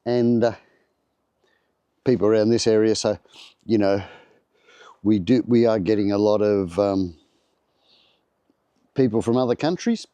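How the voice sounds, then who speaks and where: An older Australian man talks to camera at his home, a little low and soft in tone. His voice is still slightly off from the flu, and he rambles easily with plenty of ums and restarts. The sound is close and dry. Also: measured pace, pauses often, clean tone, dry recording, dull audio. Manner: rambling, relaxed, many fillers and restarts. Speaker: vlogger. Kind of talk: casual chat to camera.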